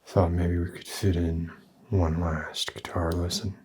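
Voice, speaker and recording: A man acts out a weary, tender middle-aged father figure from the in an ASMR roleplay. His drawl is low and husky, with little melodic movement. He speaks softly and haltingly, gruff yet gentle. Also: level tone. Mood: tender, hesitant. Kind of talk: soft-spoken ASMR roleplay.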